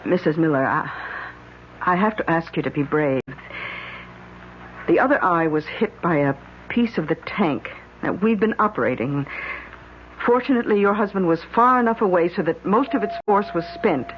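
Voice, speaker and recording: A woman's voice: on the low side, expressive, moderate pace, natural voice. An actress playing a careful, sympathetic woman doctor in an old-time radio drama. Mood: gentle, hesitant. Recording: dull, hissy old radio recording.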